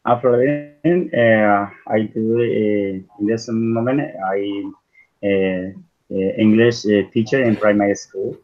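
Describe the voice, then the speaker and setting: Slow-searching Colombian man, muffled call. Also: on the low side. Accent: Colombian Spanish. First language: Spanish. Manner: slow, searching, many fillers. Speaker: primary school English teacher, exam candidate. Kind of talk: halting second-language answers. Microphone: video call.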